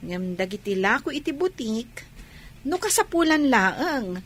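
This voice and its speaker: A woman's voice: a little high, sing-song, a little slow, fairly bright tone, heavily acted. An actress playing a kindly older mother who gives blessings and encouragement in a family drama. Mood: warm, encouraging.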